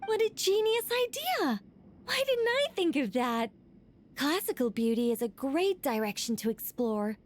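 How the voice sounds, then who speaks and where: A woman's voice: high voice, sing-song, unhurried, a little hiss or hum. A woman playing a genteel, modest but enthusiastic lady sculptor in a video game, speaking coyly and expressively. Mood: excited. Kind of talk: video game character acting.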